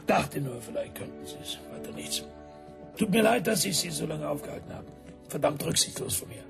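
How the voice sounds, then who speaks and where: An actor playing an embarrassed, broke major who speaks in stiff, clipped, apologetic sentences while begging a favour. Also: male voice, a little high, big pitch swings, brisk, gravelly, over music.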